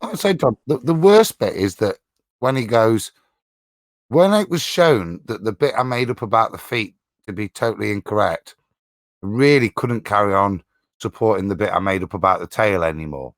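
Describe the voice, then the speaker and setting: A man on a discussion panel, talking casually in a halting, circling, indignant way. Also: on the low side, expressive, no echo, clean studio sound. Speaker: panelist on a live show.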